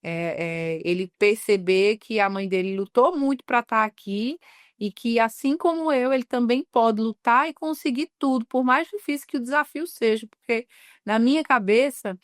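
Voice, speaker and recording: Smooth-voiced woman journalist, reflective. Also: on the high side, no room sound, clean studio sound. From Northeast Brazil. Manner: earnest, slows down on key words. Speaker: journalist guest. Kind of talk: reflective interview talk.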